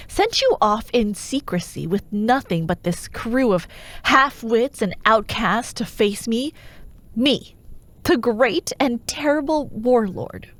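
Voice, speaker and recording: A woman playing a menacing, persuasive fantasy warlord who haunts dreams, grandiose and coaxing, in a breathy ASMR roleplay voice that often drops toward a whisper. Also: on the high side. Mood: coolly taunting. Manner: slow, grandiose, coaxing, mock-reasonable.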